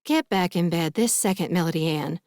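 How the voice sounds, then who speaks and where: A woman's voice: on the low side, a little fast, a little mellow, no room sound, clean studio sound, lightly acted. A woman voicing a worried, protective middle-aged mother, stern and fussing, in an audiobook. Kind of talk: audiobook character dialogue.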